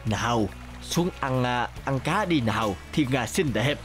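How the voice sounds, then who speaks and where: An actor playing a kindly, joyful old fisherman in a cartoon fairy tale, gentle and eager. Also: medium pitch, expressive, measured pace, faint music behind, close to an everyday voice.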